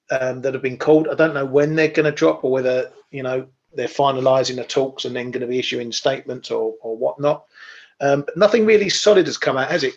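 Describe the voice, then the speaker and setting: A man hosting a casual markets show, relaxed and wry, with plenty of ums. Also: mid-range voice, highs cut off.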